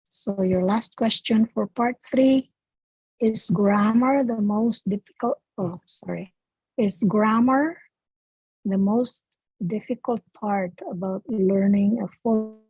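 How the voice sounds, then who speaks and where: A woman acting as examiner on a video call, reading out speaking test questions in a measured way and repeating the instructions. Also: mid-range voice, darkish tone, husky, no echo. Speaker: IELTS speaking practice examiner. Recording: muffled video call audio.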